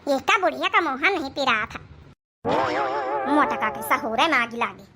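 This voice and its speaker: A woman's voice: sits high, expressive, normal speed, a crowd in the background. A woman voicing a vain, stubborn young village daughter-in-law in a comic cartoon, chatty and back-talking, with an Awadhi accent. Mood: resentful muttering.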